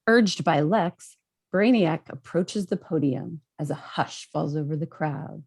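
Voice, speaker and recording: A woman narrates a screenplay from the written stage directions. Her voice is slightly low, with a husky texture. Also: a little slow, a little mellow.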